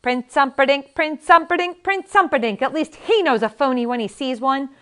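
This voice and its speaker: A woman voicing a tiny ancient wife who scolds in a shrill, furious way. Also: fairly quick, lightly acted. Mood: scornful.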